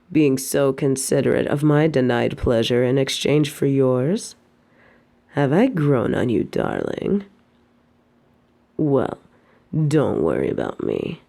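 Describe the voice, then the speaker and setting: A woman playing an evil, possessive queen in an intimate audio roleplay, speaking formally and seductively in a breathy voice that often drops toward a whisper. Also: extremely low pitch, warm tone, exaggerated voice. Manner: formal, regal, slow seductive delivery.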